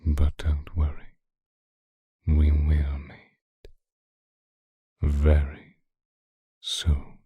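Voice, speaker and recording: Man voicing a very deep, husky whispering vampire seducer. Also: monotone, no room sound, highs cut off, full character voice. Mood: soft, possessive. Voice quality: breathy, husky, often dropping into whisper. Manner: slow, teasing, deliberate. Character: seductive, possessive, obsessive male vampire stalker. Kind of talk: intimate ASMR roleplay monologue addressed to listener. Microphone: close microphone, dry.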